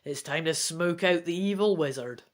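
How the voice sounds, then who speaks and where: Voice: mid-range voice, moderate pace, natural voice. A reader voicing an earnest young apprentice wizard turned dragon, in a bold, dramatic storybook reading. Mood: determined.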